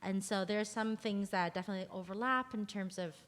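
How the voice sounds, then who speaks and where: A woman's voice: mid-range voice, a little fast, a bit bright. A woman from Minnesota with an American accent, talking casually and gratefully as an actor in a discussion. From Minnesota, USA. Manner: enthusiastic, grateful. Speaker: actor in a play. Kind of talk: casual self-introduction in a group discussion. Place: room in a library. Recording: long reverberant room sound.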